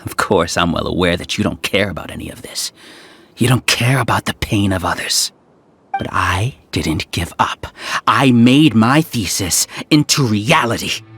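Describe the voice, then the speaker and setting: An actor playing a smug male scholar who runs a hive mind, talking in a calm, condescending lecture. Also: on the low side, measured pace, vocal fry, a little hiss or hum, heavily acted. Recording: faint game music behind.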